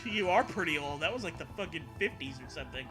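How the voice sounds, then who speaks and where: A man's voice: fairly high pitch, animated, fast, poor audio. A man hosting a comedy show, reading a horror story aloud with mocking, sweary commentary. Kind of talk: dramatic reading mixed with joking commentary. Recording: music behind the voice.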